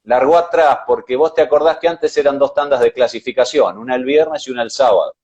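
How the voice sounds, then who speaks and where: A man with an Argentine accent, co-hosting a casual motorsport chat, talking in a measured way with hesitations. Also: medium pitch, darkish tone, breathy edge, highs cut off. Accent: Argentine Spanish. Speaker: co-host.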